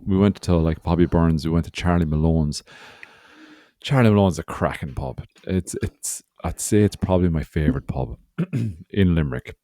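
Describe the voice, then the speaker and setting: A man's voice: deep voice, moderate pace, dark tone, no room sound, clean studio sound. An Irish man in his forties, co-hosting a podcast with rambling, sweary, joking chat, sounding hungover. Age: 40s. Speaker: podcast co-host. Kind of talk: casual banter.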